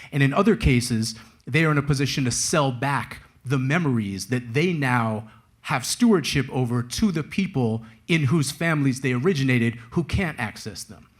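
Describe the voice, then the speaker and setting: A man speaking as a guest author at a live talk, telling stories in a wry, casual, slangy way. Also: mid-range voice. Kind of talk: conversational storytelling at a live book talk.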